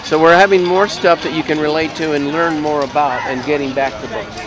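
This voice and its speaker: A man with a fairly high voice talks off the cuff as a local show MC. He rambles and jokes, often halting. He is recorded outdoors, with music playing behind him. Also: fairly even intonation, low-quality recording. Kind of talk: casual interview chat outdoors. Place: outdoors at a park gravesite.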